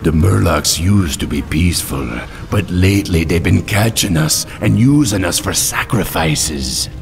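A man's voice: low-pitched, a little slow, gravelly. A man playing an earnest, easygoing troll headhunter in a video game, with a Jamaican-style accent. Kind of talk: video game character acting. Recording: game music and rain behind.

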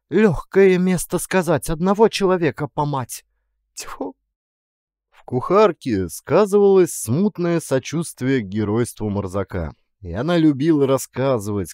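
A man's voice: mid-range voice, a little mellow, no room sound, clean studio sound. A middle-aged man narrating a literary story expressively as an audiobook actor. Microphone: close studio condenser mic with pop filter.

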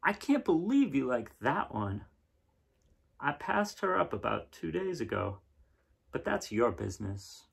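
A man reading as a bossy little farm girl, husky. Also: mid-range voice, sing-song, a little slow, exaggerated voice. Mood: matter-of-fact. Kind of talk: storybook read-aloud.

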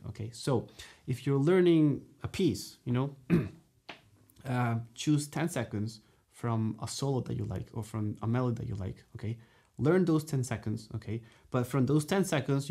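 A man in his thirties gives a saxophone lesson straight to camera, speaking at a middling pitch. He is animated and gestures as he talks. He keeps checking in with "okay?" and "you know" between points, in a studio room. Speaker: saxophone teacher. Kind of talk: direct-to-camera music lesson. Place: dark studio room, indoors.

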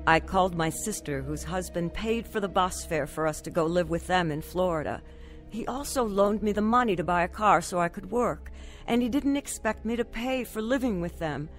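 A woman's voice: on the low side, moderate pace, faint music behind, close to an everyday voice. An actress playing a troubled, despondent mother in her thirties, narrating and acting in an earnest radio drama style. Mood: somber, reflective.

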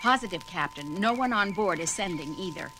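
Actress as calm comms officer, crisp reports, 70s record drama with a faint hiss. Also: female voice, on the low side, brisk, close to an everyday voice. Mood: dutiful. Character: composed female communications officer. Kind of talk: 1970s audio drama.

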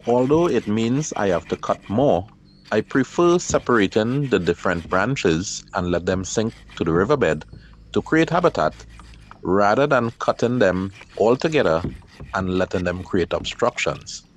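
Calm man with a lively low voice. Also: slight background noise, rough recording. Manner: calm, measured, explanatory. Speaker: kayaker and channel host. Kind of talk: scripted voice-over narration.

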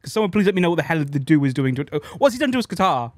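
A man's voice: a little high, very animated, very fast, no room sound. Young man reacting, close dry sound. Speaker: reaction video host. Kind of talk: casual reaction commentary.

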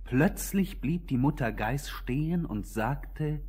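A man's voice: fairly high pitch, animated, slow, darkish tone, husky. A man narrating a children's fairy tale in a scripted, storybook style.

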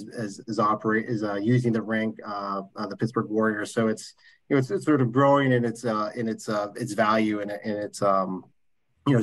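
A man presenting as a development officer at a board meeting over a video call, halting, with many fillers and restarts. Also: fairly low pitch, a bit bright, poor audio.

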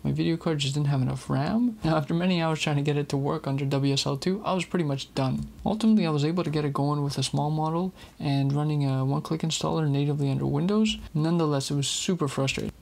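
Gravelly, husky male tech host. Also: on the high side, quick pace, hardly any pauses, a little mellow. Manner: chatty, candid about frustrations. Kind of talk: casual tech explainer.

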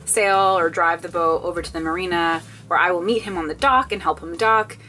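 A woman's voice: on the low side, brisk, sharp tone, a little hiss or hum. A woman in her thirties talking casually to a handheld camera in a small wooden boat cabin, wry and laughing at her mishaps. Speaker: sailing vlogger. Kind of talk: casual vlog talk to camera. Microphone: handheld camera at arm's length.

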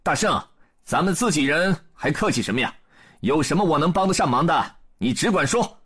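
Storybook warrior deity voiced by a man: courteous yet commanding, declamatory, animated, slightly rough tone. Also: fairly high pitch, a little fast, pitched above the performer's own voice. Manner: declamatory, formal. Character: Erlang, proud, righteous, courteous male warrior god.

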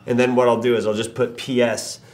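A man's voice: medium pitch, little pitch movement, brisk, darkish tone, lightly acted. An actor playing a sardonic, hot-tempered adman with a combative manner, in naturalistic screen comedy. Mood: smug. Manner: sarcastic, combative. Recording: echoey room, faint noise.

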